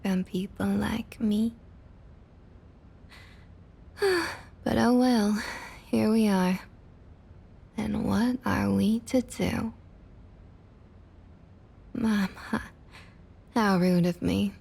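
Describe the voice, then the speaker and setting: Woman playing a slow-drawling, husky Southern vampire councilwoman. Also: mid-range voice, fairly even intonation, darkish tone, full character voice. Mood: smug, poised. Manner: drawling, falsely sweet, condescending. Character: smug, condescending Southern vampire councilwoman toying with her prey. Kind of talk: ASMR roleplay, intimate scripted monologue.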